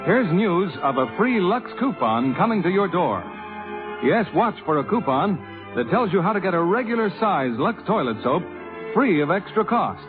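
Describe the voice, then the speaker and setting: A man's voice: high-pitched, big pitch swings, moderate pace, over music. A man announcing in the scripted, selling style of 1950s radio. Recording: tinny vintage 1950s radio broadcast.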